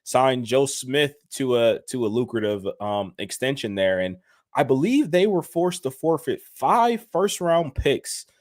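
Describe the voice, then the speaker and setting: A man co-hosting a sports podcast, explaining news and making his case in a measured, casual way. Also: mid-range voice, sing-song, no room sound, clean studio sound. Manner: measured, explaining, some restarts. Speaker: podcast co-host.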